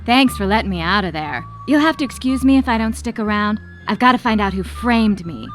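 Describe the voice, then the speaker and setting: An actor playing an eager young would-be pirate in an adventure game, earnest and boyish with a wry streak. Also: male voice, high-pitched, expressive, fairly quick, fairly bright tone. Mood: determined. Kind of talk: animated adventure game dialogue. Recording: game music behind the voice.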